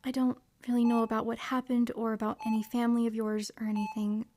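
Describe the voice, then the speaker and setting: Woman acting a shy, higher-voiced young hospital volunteer. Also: flat delivery, fast talker, mellow, a little hiss or hum, close to an everyday voice. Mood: nervous, apologetic. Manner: hesitant, stammering, apologetic. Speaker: female lead and narrator of an audio drama. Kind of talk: audio drama acting with reflective first-person narration.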